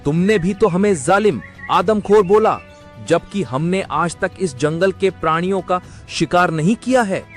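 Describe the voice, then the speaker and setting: A man's voice: sits high, a little slow, soft background music. A man voicing a fierce, hot-headed cheetah pack leader in a cartoon, with a threatening manner. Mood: aggrieved. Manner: threatening, forceful. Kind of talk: animated moral-story character acting.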